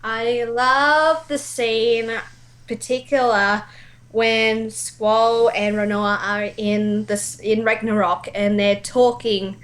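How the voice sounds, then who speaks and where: High-pitched woman, emphatic and opinionated. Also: little pitch movement, slow pace, a bit bright. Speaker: guest voice actor. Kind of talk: casual chat, opinionated fan discussion.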